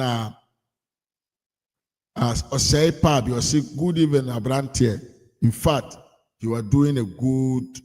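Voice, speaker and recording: A man's voice: mid-range voice, darkish tone. A Ghanaian man hosting a live show, reading out viewers' comments one after another and mixing Twi with English. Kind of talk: casual live-stream chat.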